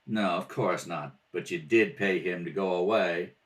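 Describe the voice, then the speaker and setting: A man's voice: sits low, measured pace, a bit bright. A man playing a blunt, brusque police lieutenant interrogating suspects in an old-time radio detective play. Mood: probing. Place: home kitchen table. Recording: distant home rehearsal recording.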